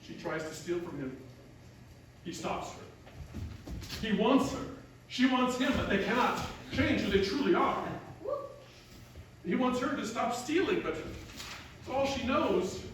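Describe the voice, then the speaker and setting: Eager high-voiced playwright, echoey room. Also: male voice, animated, slow, long pauses, full character voice. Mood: earnest. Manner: rambling, earnest, defensive. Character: eager male playwright William pitching his earnest tragic play. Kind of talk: theatrical comic dialogue. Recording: roomy echo, distant mic, faint noise.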